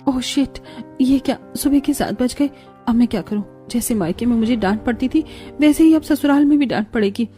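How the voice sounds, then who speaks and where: A woman's voice: a little high, brisk, mellow, soft background music, close to an everyday voice. A woman voicing a meek, anxious young daughter-in-law, fretful and plaintive, in a cartoon family drama. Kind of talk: Hindi animated family-drama voice acting.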